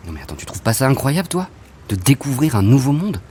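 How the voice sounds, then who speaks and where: A man's voice: medium pitch, big pitch swings, quick pace, darkish tone, natural voice. Fed-up athlete dreaming of escape played by a man. Colloquial, insistent, naturalistic audio fiction, faint ambient noise. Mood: fed up, pleading. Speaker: actor in an audio drama.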